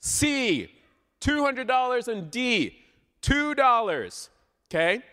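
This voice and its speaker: A man's voice: extremely high pitch, very animated, slow pace, bright, forceful tone. A man with a Canadian accent gives an informal, playful sermon, conversational and full of questions to his listeners, in a large church hall. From Southern Ontario, Canada. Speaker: guest preacher. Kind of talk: informal sermon with audience interaction. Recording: live hall with long reverb.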